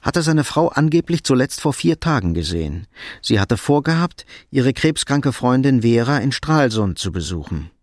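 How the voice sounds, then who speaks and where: A man narrating a crime radio drama in a measured, matter-of-fact scripted style. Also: mid-range voice, sing-song, dry recording, clean studio sound, natural voice.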